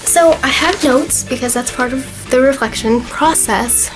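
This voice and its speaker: A young woman in her twenties with a slightly hoarse, fading voice, talking to camera in a chatty, earnest way with plenty of fillers. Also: high voice, unhurried, over music, rough recording. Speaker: vlogger. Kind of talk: casual talk to camera.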